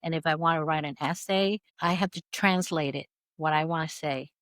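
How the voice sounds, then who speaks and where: A middle-aged woman from Vietnam with a Vietnamese accent, telling her story as a guest in a casual interview, animated and laughing through anecdotes. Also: sits low, brisk, no echo. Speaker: podcast guest.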